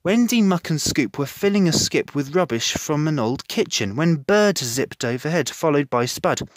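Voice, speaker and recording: A man narrating a children's storybook in an expressive reading style. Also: a little high, normal speed, no room sound.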